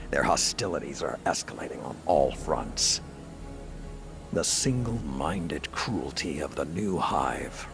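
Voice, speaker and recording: A battle-hardened old game commander with an extremely low, gravel-edged voice. He is stern and solemn, weighing every word at a slow, measured pace. Music plays behind him. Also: exaggerated voice. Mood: fierce, grim. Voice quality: deep, gravelly. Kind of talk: dramatic video game dialogue.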